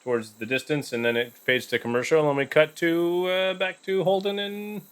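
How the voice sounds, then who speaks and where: A man's voice: fairly high pitch, steady tone, normal speed, clean tone. A man playing a tabletop roleplaying game, casually describing scenes and chatting.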